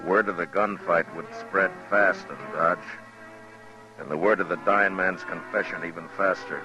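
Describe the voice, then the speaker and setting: An actor playing a stern, middle-aged American frontier marshal in an old radio western, speaking with deliberate, terse authority on a hissy recording. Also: male voice, low-pitched, natural voice, low-quality recording. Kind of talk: 1950s radio western acting and first-person narration.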